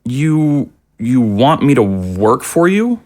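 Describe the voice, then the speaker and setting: A man's voice: medium pitch, sing-song, takes it slowly, a little mellow. An actor playing an earnest, conciliatory, anxious father in an audio drama, polite and hesitant. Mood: bewildered. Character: earnest, conciliatory, anxious father, middle-aged or older man. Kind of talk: naturalistic audio drama acting.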